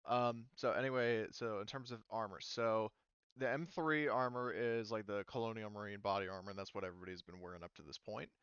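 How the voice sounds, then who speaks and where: A man with a low, smooth and resonant voice runs a tabletop game session, explaining options with frequent ums and self-corrections. The sound is dry and a bit dull, like lo-fi voice chat. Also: fairly even intonation, brisk, a bit bright, no room sound. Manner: hesitant, many ums and restarts. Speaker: game master.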